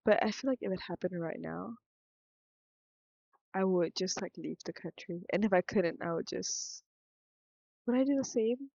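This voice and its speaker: Young Singaporean woman, low fry-heavy voice, meandering frank vlogger, dry lo-fi mic. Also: normal speed, pauses often, darkish tone, no echo. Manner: meandering, thinks aloud, self-interrupting, frank. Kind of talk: rambling casual vlog monologue.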